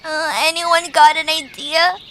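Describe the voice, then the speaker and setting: Squeaky, very high little creature heroine. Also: female voice, level tone, unhurried, pushed and bright, full character voice. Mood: worried. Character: small female round blue Zoombini creature, anxious, earnest heroine. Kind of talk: audio drama acting. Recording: very noisy track with birdsong, clicks.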